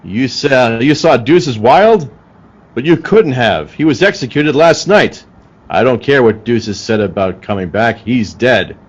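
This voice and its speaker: An actor playing a brisk, tough police detective in the style of a 1940s radio drama, speaking in clipped, urgent commands with a husky voice. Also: male voice, mid-range voice, animated, dull audio. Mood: alarmed, firm.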